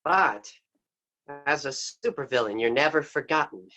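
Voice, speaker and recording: A man playing a smooth-talking, scheming wolf villain in a stage play, with a persuasive, wheedling manner. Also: mid-range voice, animated, unhurried, bright, forceful tone, faint music behind. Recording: dull muffled stage recording.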